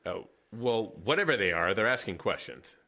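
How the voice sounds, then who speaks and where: A man's voice: on the low side, animated, normal speed, pushed and bright. An actor playing a blunt, impatient man set on keeping the town's secrets. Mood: tense. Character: blunt male small-town fixer, secretive.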